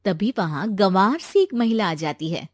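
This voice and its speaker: A woman's voice: mid-range voice, lively intonation, moderate pace, a little mellow, dry recording, studio quality. A woman narrating a scripted moral story as a storyteller.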